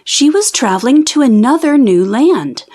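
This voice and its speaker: A woman reading a children's book aloud as an expressive storyteller. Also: high voice, moderate pace. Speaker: storybook reader. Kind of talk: children's read-aloud narration.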